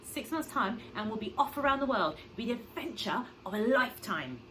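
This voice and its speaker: A woman voicing an excitable, persuasive father from a children's story, breathless and emphatic with big plans. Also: a little high, a little fast, slight background noise, pitched above the performer's own voice, rough recording. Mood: persuasive, excited.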